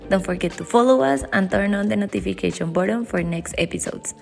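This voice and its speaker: A woman's voice: medium pitch, a little fast, creaky, darkish tone, faint music behind. A woman hosting a podcast, reading an introduction and asking questions in English with small slips. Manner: reads intro, speaks English as a second language with small slips. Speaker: podcast host.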